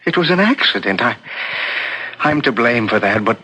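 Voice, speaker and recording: An actor playing a guilt-ridden, unhappy husband who speaks haltingly and guardedly in a 1970s radio mystery. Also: male voice, mid-range voice, animated, sharp tone, breathy edge, poor audio. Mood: anguished, remorseful.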